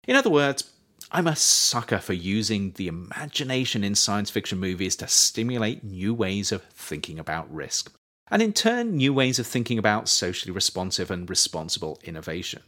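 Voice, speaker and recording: A man reading his own nonfiction book aloud as scripted audiobook narration, with measured, emphatic phrasing. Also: on the low side, sing-song, sharp tone.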